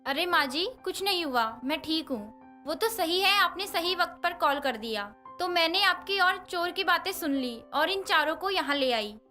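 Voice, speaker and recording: Woman voicing a young daughter-in-law, very high, bright, eager, soft music behind. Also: expressive, normal speed, full character voice. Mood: reassuring. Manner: eager, reassuring. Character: young tenant daughter-in-law, brave, caring.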